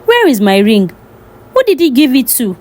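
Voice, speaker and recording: Folktale royal father, performed by a female narrator: emphatic, high-pitched, lively intonation. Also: normal speed. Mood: distressed. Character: royal father, indulgent to his child, urgent, determined. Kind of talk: folktale storytelling.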